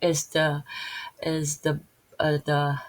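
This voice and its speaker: A middle-aged woman with a Filipino accent, talking casually and haltingly to her channel with many restarts. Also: deep voice, monotone. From Philippines. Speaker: channel host. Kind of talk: casual unscripted video talk.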